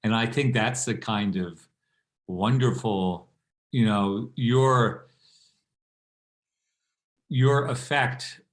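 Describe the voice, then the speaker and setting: Man in an echoey room, thinking aloud. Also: lowish voice. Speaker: theatre panel guest. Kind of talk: casual panel discussion. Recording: roomy, echoey sound.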